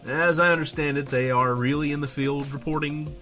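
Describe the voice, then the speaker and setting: An actor playing a sarcastic, hedging, foul-mouthed small-town radio host in a comedic audio drama, trading banter. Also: male voice, a little high, fairly even intonation, a little fast, bright, forceful tone, soft background music. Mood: wry. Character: irreverent, flustered small-town radio host, adult man.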